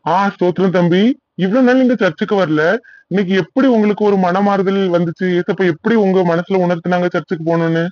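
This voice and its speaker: High-pitched, earnest cartoon pastor played by a man. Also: quick pace, no room sound, highs cut off, lightly acted. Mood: pleased, welcoming. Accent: southern Tamil Nadu. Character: kindly, earnest male village church pastor.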